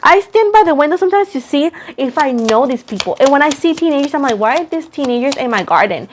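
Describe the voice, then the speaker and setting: A woman's voice: high-pitched, expressive, quick pace, a little hiss or hum. A woman giving a casual, chatty tarot reading, rambling in a self-deprecating way and repeating phrases for emphasis. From Puerto Rico. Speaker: tarot reader, host.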